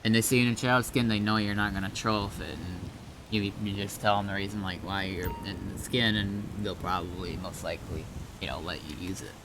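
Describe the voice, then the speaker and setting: A man streaming a game as an admin, chatting casually in a halting, explanatory way with some swearing. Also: sits low, steady tone, background noise.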